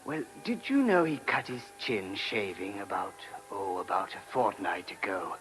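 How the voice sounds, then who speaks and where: A diffident monk in a radio drama. He sounds uneasy and apologetic, hesitating as he goes, with lively pitch movement. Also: measured pace, soft background music. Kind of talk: radio play acting.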